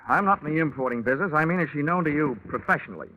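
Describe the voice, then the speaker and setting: An actor playing a wry, hard-boiled American investigator in a 1940s radio detective drama, deadpan and wisecracking. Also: male voice, fairly high pitch, racing, a little hiss or hum, close to an everyday voice. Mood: probing, dry. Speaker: detective narrator. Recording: muffled 1940s radio recording with music.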